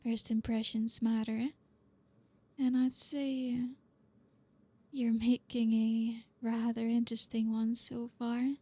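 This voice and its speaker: A woman's voice: on the high side, little pitch movement, slow pace, warm tone. A woman playing a flirty, teasing, dominant boss in an ASMR roleplay, speaking breathily and often slipping into a whisper. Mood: composed, suggestive.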